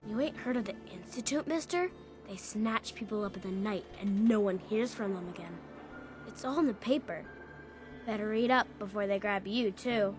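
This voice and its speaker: A woman's voice: medium pitch, normal speed, over music, lightly acted. A woman playing a feisty, streetwise young girl selling newspapers in a video game, sassy and urgent in manner. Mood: ominous warning. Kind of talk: video game dialogue acting.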